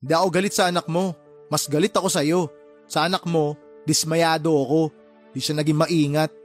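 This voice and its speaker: Filipino radio drama, man playing blunt aggrieved brother, high-pitched, scolding, music underneath. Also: level tone, brisk, lightly acted. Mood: bitter, scolding. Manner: indignant, scolding, sarcastic. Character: resentful younger brother, hardworking, blunt and sarcastic.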